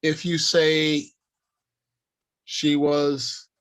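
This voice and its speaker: A man giving an instructional talk as a workshop speaker, deliberate and emphatic, speaking in short declarative phrases with pauses. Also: on the high side, monotone, a bit bright, clear, resonant tone.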